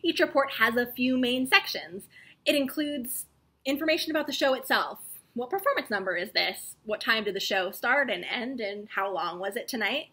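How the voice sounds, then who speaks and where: A young adult woman hosting a scripted explainer to camera, speaking in a clear, presentational way. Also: on the high side, expressive, normal speed, bright, forceful tone. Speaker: video series host, theater stage manager. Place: small indoor room with bookshelves.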